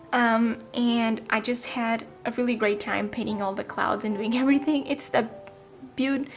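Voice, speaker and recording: A twenty-year-old woman chatting casually in a voice-over, with frequent ums and small self-corrections. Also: fairly high pitch. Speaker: planner vlogger. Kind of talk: casual voice-over flip-through.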